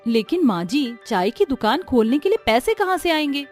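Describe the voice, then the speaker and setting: A woman plays a cheerful, resourceful young daughter-in-law in a cartoon story. She talks in a brisk, encouraging way with a high-set voice, and faint music sits behind her. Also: big pitch swings. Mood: hopeful. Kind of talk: animated storybook voice acting.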